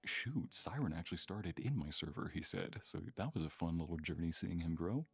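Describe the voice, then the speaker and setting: A man with a low, heavily raspy and gravelly voice, chatting casually and playfully. His delivery is animated, full of banter, and recorded close and dry. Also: fairly quick, dry recording. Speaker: guest voice creator. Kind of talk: casual chat.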